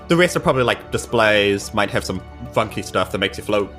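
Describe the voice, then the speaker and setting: A woman talks casually through her moves as a tabletop game player. Her pitch swings in a lively way, with faint music behind her. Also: a little fast. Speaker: player in tabletop roleplaying podcast.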